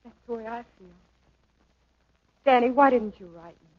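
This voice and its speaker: An actress playing a gentle young woman dancer who talks in a soft, pleading way with little stammers, in 1940s film style. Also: fairly high pitch, fairly even intonation, normal speed, close to an everyday voice. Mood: tender. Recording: dull old film soundtrack, faint hiss.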